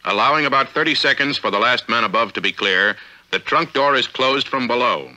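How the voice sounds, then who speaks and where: An American man reading formal, measured, authoritative narration for a 1950s instructional film, on an old film soundtrack. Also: medium pitch, sharp tone, poor audio. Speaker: narrator of a military training film.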